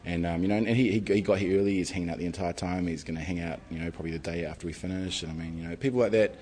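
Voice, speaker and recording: A man's voice: low-pitched, fairly even intonation, rapid-fire, a little mellow. A man organising a music festival, talking in a rambling, enthusiastic way with lots of fillers. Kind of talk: casual interview.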